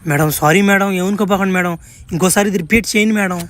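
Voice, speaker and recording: A woman's voice: medium pitch, quick pace, close to an everyday voice. A woman voicing a hapless young man in a comic cartoon, pleading and feeling sorry for himself. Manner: pleading, lamenting. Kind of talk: comic cartoon dubbing in Telugu.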